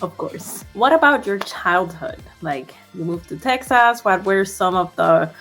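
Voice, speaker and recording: A woman hosts the interview at an unhurried pace, friendly and chatty with fillers. Her voice sits at a middle pitch, and faint music plays underneath. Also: expressive, a bit bright. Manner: friendly, laughs between questions, uses fillers. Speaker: interview host. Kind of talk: casual interview hosting.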